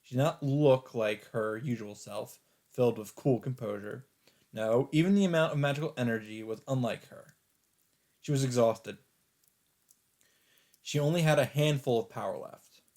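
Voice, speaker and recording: A man reading a visual novel aloud as a let's play host, in dramatic, expressive narration with deliberate phrasing and pauses. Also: mid-range voice. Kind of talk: dramatic narration read aloud from on-screen text. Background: background music.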